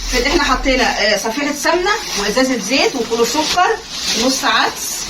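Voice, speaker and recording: A woman with an Egyptian accent, chatting fast and arguing while packing food bags for a family vlog. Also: high voice, animated. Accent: Egyptian Arabic. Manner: argumentative, quick, bickering. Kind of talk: casual family vlog chat. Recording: echoey room, distant mic, loud noise.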